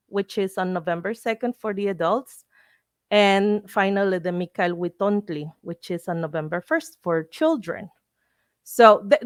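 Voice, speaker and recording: A woman from Mexico with a Mexican accent, a native Spanish speaker, giving an informal educational presentation in a halting, explanatory way, searching for words. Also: mid-range voice, no room sound, clean studio sound. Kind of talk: informal educational talk with slides.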